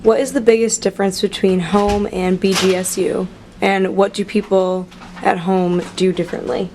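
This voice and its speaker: A woman acting as interviewer, reading each question in a plain, even way. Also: mid-range voice, normal speed, noisy background.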